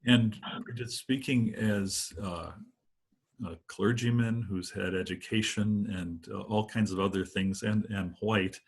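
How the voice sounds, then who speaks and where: An older man in his sixties, a priest, speaks on a video call from home. His voice is a little husky and lowish. He talks in a reflective, considered way. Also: steady tone, slow pace. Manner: thoughtful, reflective. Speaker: priest guest. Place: home room. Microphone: video call webcam.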